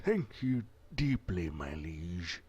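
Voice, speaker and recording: A man's voice: deep voice, very animated, slow pace, a little mellow, breathy edge, pitched below the performer's own voice, heavily acted. A man voicing a humble, proud father in a visual novel, speaking hesitantly and with formal deference. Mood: astonished, grateful.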